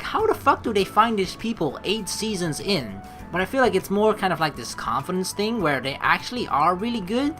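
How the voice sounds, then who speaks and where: A young woman doing casual let's play commentary. Her voice is bright and very animated in pitch, and she talks in a loose, rambling, chatty way. Soft music plays behind her. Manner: rambling, conversational, peppered with "you know". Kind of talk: casual game commentary mixed with reading dialogue aloud.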